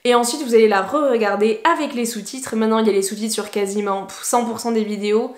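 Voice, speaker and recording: A woman's voice: on the high side, fast. Chatty woman, echoey room. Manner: chatty, encouraging, many fillers. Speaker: English teacher. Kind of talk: casual tips to camera.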